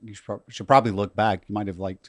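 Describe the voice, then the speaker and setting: A man hosts a podcast and steers the chat with conversational questions. His voice sits on the low side. The recording is close and dry. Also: steady tone, quick pace, no echo. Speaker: podcast host. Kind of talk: interviewing.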